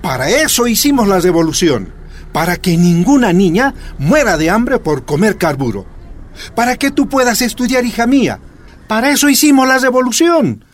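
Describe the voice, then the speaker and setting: A man's voice: high voice, sing-song, normal speed, a little hiss or hum. An actor playing a stern, fiery Bolivian miner father in a radio drama, speaking emphatically with a Bolivian accent. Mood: earnest, tender. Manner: emphatic, declamatory.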